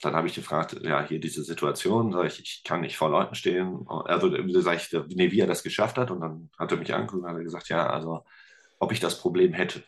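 Very deep-voiced chatty Ruhr German man. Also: a little fast. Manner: chatty, relaxed storytelling with many fillers. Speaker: podcast guest, teacher and musician. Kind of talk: casual interview chat.

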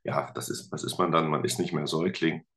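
A man's voice: rumbling low, fairly even intonation, brisk, fairly bright tone. A man talking casually as an interview guest, rambling a little as he thinks aloud. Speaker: guest, teacher and musician.

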